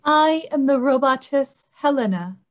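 Young robotess for radio play, played by a woman: very high-pitched, timid, devoted. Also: lively intonation, a little slow. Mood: timid pleading. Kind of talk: 1930s radio drama acting. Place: indoors on a video call.

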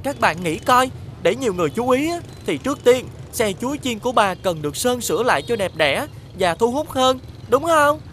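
A woman's voice: a little high, animated, normal speed, few pauses, a bit bright, slight background noise. Earnest little cartoon boy, southern Vietnamese. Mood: eager. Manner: polite, earnest. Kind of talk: children's cartoon dubbing.

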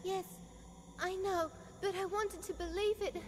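A woman voicing a gentle young android girl in a game cutscene, pleading and breathless. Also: very high voice, fairly even intonation, a little slow. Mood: sad, tender. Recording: reverberant, noisy cutscene audio.

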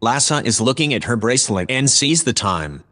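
A man's voice: mid-range voice, big pitch swings, fairly bright tone. A man voicing a composed, obliging artificial intelligence that narrates formally in an audio drama. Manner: formal, measured.

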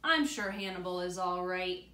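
A woman's voice: lowish voice, animated, moderate pace, sharp tone. A woman reading the part of a practical, reassuring mother in a storybook, in a roomy space. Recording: roomy, distant camera mic with faint noise.

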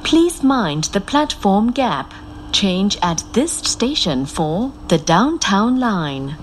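A woman making scripted train announcements in a formal, clearly enunciated, measured manner. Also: mid-range voice, sing-song, noisy background. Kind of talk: scripted recorded transit announcements. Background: loud moving train ambience.

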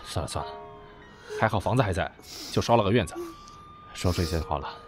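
A man's voice: sits low, expressive, a little fast, music in the background. A man acting a caring, protective young man in an amateur Chinese audio drama. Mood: soothing. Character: caring young man, protective older-brother type, worried but doting.

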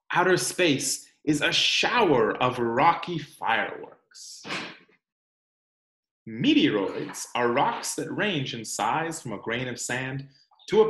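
A man in his thirties reading a children's picture book aloud in a deliberate, explanatory way, with dramatic emphasis on key words. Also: medium pitch, a bit bright. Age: 30s. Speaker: read-aloud presenter. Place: small room at home, indoors. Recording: roomy home recording.

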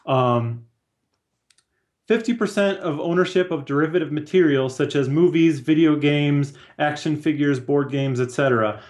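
A man's voice: a little high, normal speed, a little mellow. A man in his thirties chatting casually as a guest on a video call. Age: 30s. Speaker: guest, comics artist. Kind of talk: casual chat. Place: small room at home or office. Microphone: video call, headphones.

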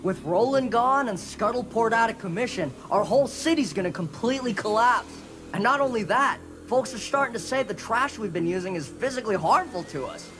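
Very high-pitched smug sea-creature tycoon. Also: male voice, expressive, brisk, over music. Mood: glib, boastful. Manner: glib salesman patter, boastful and breezy. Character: smug, manic, self-deluded sea-creature businessman turned god. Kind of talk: animated video game cutscene acting.

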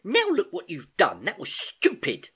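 An earnest, curious schoolgirl from a storybook, put on by the narrator. Her voice sits high and breathy, with swooping questions and ready exasperation. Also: wildly swooping pitch, moderate pace, a bit bright, exaggerated voice. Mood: exasperated. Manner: questioning, eager.

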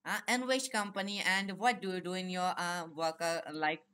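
A young man with an Indian accent chats casually on a phone video call, asking questions haltingly in simple, repetitive English. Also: high voice, steady tone, pushed and bright, clean tone. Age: young adult. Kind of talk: casual chat with strangers.

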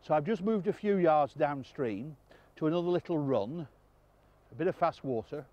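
An older man presenting a fly fishing lesson on a riverbank, explaining calmly with quiet enthusiasm, recorded on a clip-on mic. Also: a little high, moderate pace, no room sound, lo-fi sound. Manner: calm, explanatory, enthusiastic about the fish. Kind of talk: outdoor instructional presenting. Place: outdoors on a river bank.

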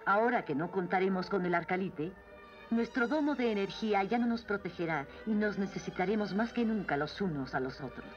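A woman's voice: medium pitch, expressive, brisk, highs cut off, close to an everyday voice. A woman voicing a proud, commanding queen in a Latin American Spanish cartoon dub, stately and formal. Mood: solemn, proud. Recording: music behind the voice.

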